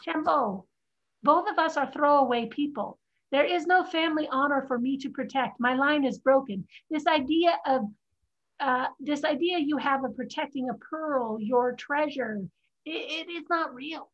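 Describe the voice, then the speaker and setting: Over a dull-sounding video call, a woman acts a defiant girl of fifteen who has lived disguised as a boy. Her voice is high, and she delivers the play's lines earnestly. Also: moderate pace, close to an everyday voice. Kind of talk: staged play reading.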